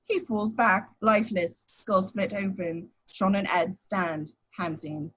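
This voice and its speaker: A woman's voice: medium pitch, slow, a bit bright. A woman reading scripted action descriptions as the narrator of a screenplay table read.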